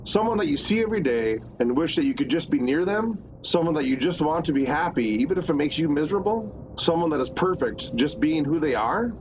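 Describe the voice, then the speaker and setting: A man's voice: a little high, lively intonation, brisk, few pauses, lightly acted. A man playing an earnest, hesitant employee secretly in love with his boss in a romantic comedy radio play. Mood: frustrated, earnest. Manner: earnest, sputtering when flustered. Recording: muffled, noisy recording.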